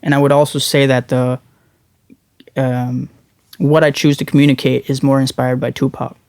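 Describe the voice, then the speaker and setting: A young man from Norway, a musician guest, talking thoughtfully in a casual interview, often pausing and restarting his words. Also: mid-range voice, level tone, darkish tone, clear, resonant tone, no room sound, studio quality.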